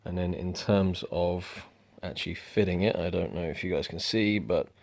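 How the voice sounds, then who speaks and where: A young man giving a relaxed, rambling product review at home, with lots of ums. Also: sits low, moderate pace, gravelly. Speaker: motorcycle vlogger. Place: indoors at home.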